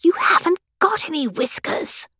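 A woman voicing a haughty, sharp-tongued Queen in a children's storybook, imperious and brisk. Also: very high-pitched, huge pitch swings, dry recording, clean studio sound, voice pushed up, exaggerated voice. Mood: scolding. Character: haughty, sharp-tongued chess Queen, scolding her husband.

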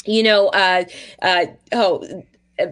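A woman's voice: mid-range voice, slow, a bit bright, smooth. A woman hosting a podcast in a casual, conversational, enthusiastic and affirming way. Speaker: podcast host.

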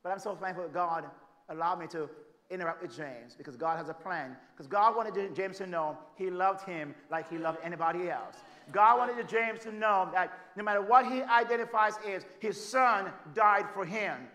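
A Jamaican man preaching a sermon, his voice high and ringing bright. He swings from forceful, emphatic declarations down to quiet, hushed storytelling, and the congregation answers him along the way. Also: moderate pace. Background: congregation calling out amens.

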